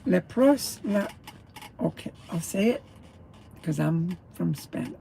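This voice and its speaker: A man's voice: high voice, lively intonation, takes it slowly, dark tone, slight background noise. A man, a truck driver, rambling through a casual morning livestream and greeting viewers as he chews his breakfast. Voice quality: gravelly. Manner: chewing while talking.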